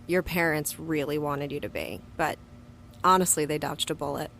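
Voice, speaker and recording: A woman's voice: low voice, steady tone, normal speed, creaky, fairly bright tone, slight background noise. An actress playing a hot-tempered, wounded young woman in an audio drama, with a blunt, sweary way of talking. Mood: subdued, reflective. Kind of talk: audio drama acting and first-person narration.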